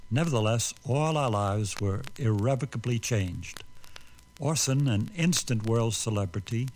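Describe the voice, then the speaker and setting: Measured male narrator, medium pitch. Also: animated, a little mellow, slight background noise. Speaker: documentary narrator. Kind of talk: scripted retrospective narration.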